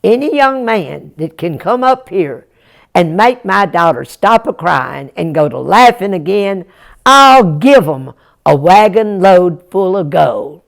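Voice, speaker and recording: Brief: a woman playing a backwoods king, slow loud proclamation, gravelly. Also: lowish voice, expressive, full character voice. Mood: proclaiming. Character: worried old folk-tale king.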